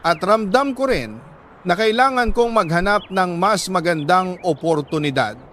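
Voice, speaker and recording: A Filipino man narrating a first-person story dramatically, in a sentimental, deliberate delivery with short pausing phrases. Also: high voice, fairly bright tone, slight background noise. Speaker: radio storyteller reading a listener's letter.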